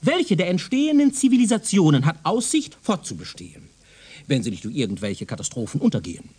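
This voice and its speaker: A self-assured professor from the future in a radio play. His husky voice swings dramatically up and down as he lectures. The manner is pedantic and condescending, full of rhetorical emphasis. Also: a little high, sing-song, a little fast, a little mellow. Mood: lecturing. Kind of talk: German radio drama acting, lecturing dialogue.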